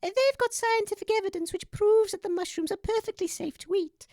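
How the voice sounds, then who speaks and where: A woman's voice: extremely high pitch, a little fast, darkish tone, no room sound, studio quality. A woman playing a smug, dim self-proclaimed scientist with a pompous manner in a radio comedy. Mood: accusing. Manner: pompous, mangles words and logic. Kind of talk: radio comedy character acting.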